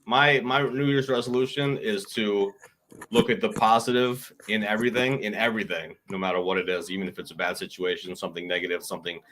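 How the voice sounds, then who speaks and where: A man's voice: on the low side, fairly even intonation, brisk, background noise. A man co-hosting a casual podcast chat, opening with hype-man calls and emphatic repeats. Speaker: podcast co-host.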